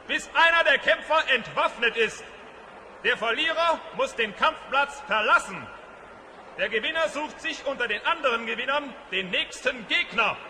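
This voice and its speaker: A man's voice: extremely high pitch, bright, forceful tone, full character voice. A man playing a stern royal captain, announcing formally in a fantasy radio play. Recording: reverberant, faint background noise.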